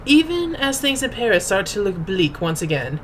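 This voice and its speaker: A woman's voice: mid-range voice, sing-song, normal speed, slight background noise, rough recording. A woman host reading aloud as a dramatic narrator, in a pompous, mock-grand and formal manner. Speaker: host and reader. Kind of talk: theatrical dramatic reading of fan fiction.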